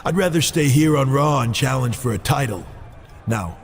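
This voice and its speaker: Cocky wrestler for a game cutscene, male actor: mid-pitched, creaky, blunt, stubborn, noisy sound. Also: expressive, moderate pace, close to an everyday voice. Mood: defiant. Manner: blunt, stubborn, self-assured. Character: defiant, cocky male pro wrestler hungry for titles. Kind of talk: scripted video game cutscene acting.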